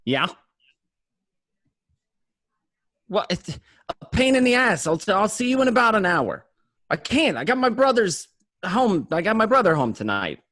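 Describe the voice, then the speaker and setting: A man's voice: high voice, sing-song, normal speed, fairly bright tone, dry recording, studio quality, full character voice. A man acting as a stressed, bossy male criminal in an amateur script read, insistent and repeating himself. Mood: irritated. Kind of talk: amateur online table read of a film script.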